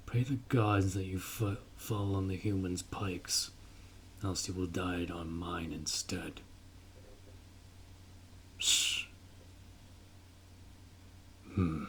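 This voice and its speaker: A man's voice: low voice, a little hiss or hum. A man playing a stern, honorable orc warrior commander in a fantasy audio roleplay, speaking in a formal, commanding way. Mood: hushed, commanding. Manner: formal, commanding, measured delivery.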